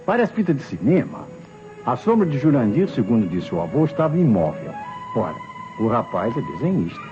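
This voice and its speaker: An actor playing an eager, opinionated junior police detective in an old Brazilian radio mystery, with a Brazilian accent. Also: male voice, medium pitch, big pitch swings, brisk, lightly acted. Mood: insistent, skeptical. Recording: muffled old radio recording, faint music.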